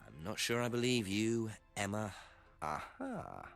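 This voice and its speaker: A man's voice: lowish voice, expressive, a little slow, gravelly, soft background music. A man playing a suspicious detective in a mystery game, musing sceptically to himself over clues. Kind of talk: acted game monologue.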